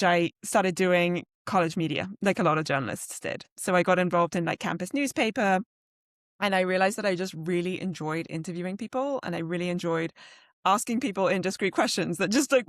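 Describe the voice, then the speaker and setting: A woman's voice: fairly low pitch, no echo, clean studio sound. A woman in her thirties, raised in Switzerland with a British-tinged European accent, chatting casually as a guest and restarting her sentences often. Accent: British-tinged European English. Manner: chatty, self-deprecating, many fillers and restarts. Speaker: journalist and author, guest. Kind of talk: casual interview conversation.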